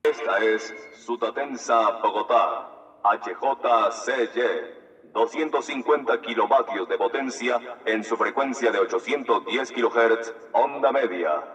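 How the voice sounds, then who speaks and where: A man's voice: medium pitch, unhurried, a bit bright. A man giving formal radio station identifications as an announcer. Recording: echoey, distant, dull archival radio.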